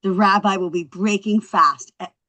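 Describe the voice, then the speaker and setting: An actress playing a brash, quick-witted young wife in a scripted comedy table read. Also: female voice, mid-range voice, steady tone, pushed and bright, close to an everyday voice. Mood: triumphant, cheeky.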